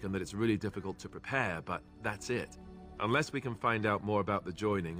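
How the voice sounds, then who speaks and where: An actor playing an earnest young warrior, reluctant and unsure, in a fantasy video game. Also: male voice, sits low, lightly acted.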